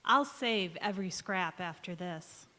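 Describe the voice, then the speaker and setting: A woman's voice: on the low side, expressive, measured pace, sharp tone. Woman playing dutiful wartime housewife, echoing. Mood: contrite. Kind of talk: 1940s radio advertisement acting. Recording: echoey hall, long reverb.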